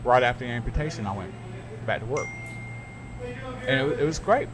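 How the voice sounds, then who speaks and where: A mid-pitched man hosts a loose podcast conversation, telling his stories bluntly and with emphasis, often restarting his sentences. A clear hiss of background noise sits under his voice throughout. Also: brisk. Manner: candid, emphatic, storytelling with restarts. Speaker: podcast host and radio broadcaster. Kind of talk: casual chat. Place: radio studio.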